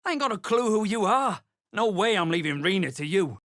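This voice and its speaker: Blunt, angry young villager for a fantasy game; very expressive pitch, close dry recording. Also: male voice, fairly quick, no room sound. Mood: gruff, wary. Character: rough, angry young peasant man searching for his sister. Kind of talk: video game voice acting.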